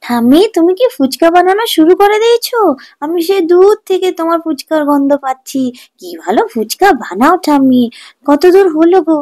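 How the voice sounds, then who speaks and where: A woman's voice: very high voice, expressive, fairly quick, a little mellow, voice pushed up. A woman voicing an affectionate, eager young village grandson in a cartoon folk tale, chatty and coaxing. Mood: excited. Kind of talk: animated children's folk tale acting.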